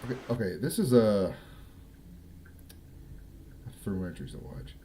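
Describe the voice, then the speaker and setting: A man's voice: fairly low pitch, sing-song, quick pace, warm tone, a little hiss or hum. A man hosting a livestream, giving informal, exclamatory reaction commentary in a casual style. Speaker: livestream host.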